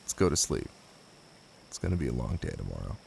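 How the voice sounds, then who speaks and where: A man's voice: sing-song, fast, vocal fry, a little hiss or hum, exaggerated voice. A man playing a brooding, possessive young mafia heir and new husband in an intimate roleplay audio drama, blunt and commanding yet protective. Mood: solemn, protective. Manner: blunt, commanding, swearing, low-key intimate delivery.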